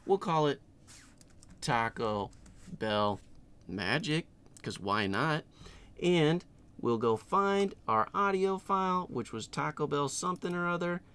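A middle-aged man hosting a casual screen-share software tutorial in a tongue-in-cheek, playfully exaggerated way. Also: a little high, lively intonation, slow, clean tone. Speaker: tutorial host.

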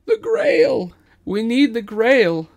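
A man voicing clamoring, worshipful space station townsfolk, loudly proclaiming in a storytelling podcast. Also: very high-pitched, wildly swooping pitch, slow pace, creaky, pitched above the performer's own voice, exaggerated voice. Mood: pleading, reverent. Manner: loud, proclaiming.

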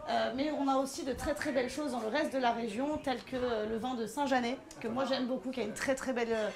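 A young woman speaks in a fairly high voice, fluent and keen as she explains the menu and wines. She is recorded inside a small wine bar with clear chatter of people behind her. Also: steady tone, fast talker. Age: young adult. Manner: fluent, enthusiastic. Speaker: restaurant staff, owner's daughter. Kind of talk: informative explaining.

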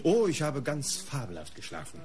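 An actor playing a casual, sardonic house guest in a radio crime play. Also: male voice, medium pitch, huge pitch swings, moderate pace, darkish tone. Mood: wry, casual. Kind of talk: German radio crime drama acting.

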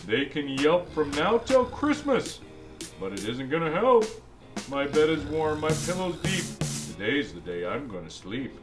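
A man's voice: a little high, very animated, a little slow. A man voicing a jolly old Santa Claus who reads a picture book to kids in a chatty, exclaiming way. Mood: playful, insistent. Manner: exclaiming, chatty asides between lines. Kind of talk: animated storybook read-aloud. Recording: music behind the voice.

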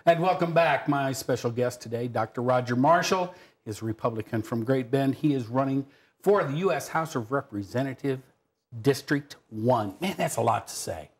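A man's voice: medium pitch, moderate pace, faint music behind. A middle-aged man hosting a local television interview show in a studio, talking in a folksy, chatty way. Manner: folksy, chatty, lively intonation. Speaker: TV talk show host. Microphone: lapel mic.

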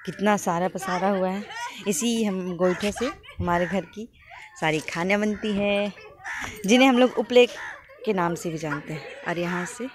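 A woman's voice: medium pitch, unhurried. A young woman filming a casual family vlog on her phone outdoors, chatting and teasing her sisters and laughing often. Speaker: vlogger. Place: outdoors in a village courtyard and rooftop garden. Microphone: handheld phone camera. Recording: phone audio with loud outdoor noise.

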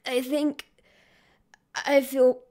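A brainy teenage turtle boy, sick and stubborn, played by a woman. The delivery is weary, subdued and slow, halting between phrases as in a comic dub. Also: high voice, flat delivery, a little mellow, voice pushed down. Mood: weary, sickly.

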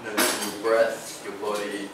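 A man's voice: fairly low pitch, level tone, moderate pace. A man in his thirties with a British English accent, leading a workshop with a joking, direct, emphatic manner. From England. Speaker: workshop leader. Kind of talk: lively workshop talk with guided exercises. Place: small cafe room, indoors, live audience. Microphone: far camera. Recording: echoey room from far off, noisy.

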